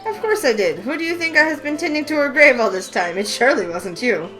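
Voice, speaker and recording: A woman's voice: high-pitched, fast, pitched above the performer's own voice, heavily acted. A woman voicing a wise, teasing older witch who runs a shop, in a game read-through over faint music. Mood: knowing. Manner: teasing, knowing and calm. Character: wise sly older female witch shopkeeper. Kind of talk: voice acting a visual novel.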